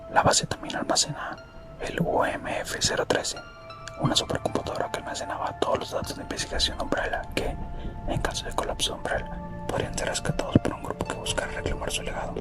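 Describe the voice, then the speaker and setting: A man reads scripted ASMR narration in a hushed, breathy, unvoiced whisper, steady throughout. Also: very high-pitched. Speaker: ASMR channel host. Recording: whisper over background music. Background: music.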